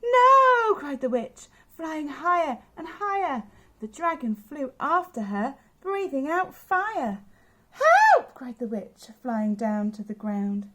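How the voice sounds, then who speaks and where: Childminder reads rhyming picture book aloud, woman, slow lively sing-song. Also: high-pitched, a little hiss or hum. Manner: expressive, sing-song storytelling.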